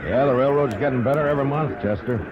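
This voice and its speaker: An actor playing a tough, plain-spoken American U.S. Marshal in a 1950s radio western, with folksy turns of speech. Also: male voice, medium pitch, fast, lo-fi sound, a loud crowd, lightly acted. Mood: matter-of-fact. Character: steady, tough frontier U.S. Marshal of the Old West. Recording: noisy old radio recording, clicks.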